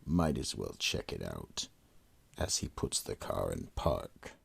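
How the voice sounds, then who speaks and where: Sinister vault keeper for a radio horror play: very deep, raspy, unhurried. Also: male voice, very animated, exaggerated voice. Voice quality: deep gravelly rasp. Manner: slow, ominous, drawn-out delivery. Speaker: horror anthology narrator. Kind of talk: 1940s-style radio horror narration.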